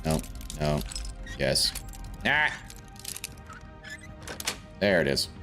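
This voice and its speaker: A man's voice: rumbling low, sing-song, extremely slow, sharp tone. A man from South Africa streaming a game, chatting casually and thinking aloud as he plays. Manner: chatty, rambling, thinks aloud while playing. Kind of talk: casual gameplay commentary. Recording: faint game music behind.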